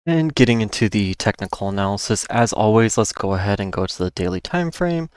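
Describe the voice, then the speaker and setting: A man's voice: fairly low pitch, expressive, a little fast, darkish tone, smooth, no room sound, studio quality. A man hosting an informal market rundown, explaining and repeating key points, with a voice that is wearing out. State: losing his voice, with occasional coughing. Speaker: host of a stock market analysis channel.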